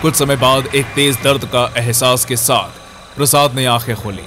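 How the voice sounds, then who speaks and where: A man's voice: mid-range voice, fairly even intonation, unhurried, over music. A man narrating a scripted horror story for an audio drama.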